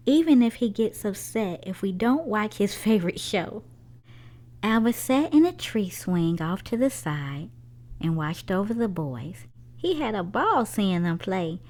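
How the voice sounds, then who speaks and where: Slow, animated woman reading to kids. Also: medium pitch. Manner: sing-song, addressing small children. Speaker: children's story-time host. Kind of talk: animated read-aloud storytelling for young children.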